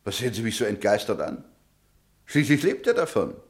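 Blustering, proud tycoon with swooping delivery. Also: male voice, on the low side, very animated, fairly quick. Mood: smug, defiant. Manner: blustering, emphatic, self-important. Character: massive, proud, hot-tempered self-made millionaire engineer. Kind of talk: German radio play acting.

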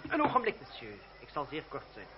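An actor playing a defiant, principled young lawyer in a radio drama, speaking formally and with measured, stubborn politeness. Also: male voice, medium pitch, huge pitch swings. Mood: formal, insistent. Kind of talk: Dutch radio drama acting. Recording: muffled, noisy, humming old radio recording.

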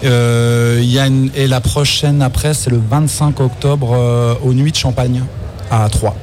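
A man's voice: mid-range voice, fairly even intonation, unhurried, a little mellow. A man in his forties, a musician guest in a live radio interview, talking hesitantly with many fillers and restarts. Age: 40s. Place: festival radio stand.

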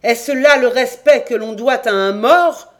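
A reader voicing a bold male ship captain with a booming, forceful voice. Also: animated, slow pace. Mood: outraged.

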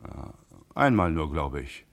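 A man's voice: very deep voice, very animated, unhurried, vocal fry, exaggerated voice. An actor playing an uneasy male car mechanic in a German radio crime drama, hesitant and hedging under questioning.

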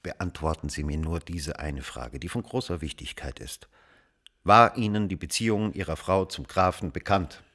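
Radio drama investigator-narrator: low-pitched, rough-edged, deliberate, insistent. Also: male voice, a bit bright. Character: probing, controlled male investigator recounting a murder case. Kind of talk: German radio drama, first-person narration and questioning.

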